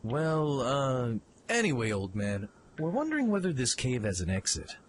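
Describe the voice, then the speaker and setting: Man playing a brash, animated young pilot. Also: mid-range voice, moderate pace, slight background noise, lightly acted. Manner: excitable, informal, impulsive. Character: brash, eager, curious young male mech pilot. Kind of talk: fan audio drama acting.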